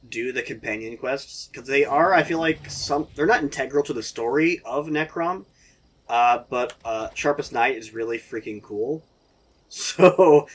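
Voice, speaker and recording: A man hosting a game playthrough, chatting casually and rambling, his voice hoarse from a sore throat. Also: medium pitch, a bit bright. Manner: rambling, chatty, laughs often. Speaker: gaming video host. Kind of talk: casual let's-play commentary.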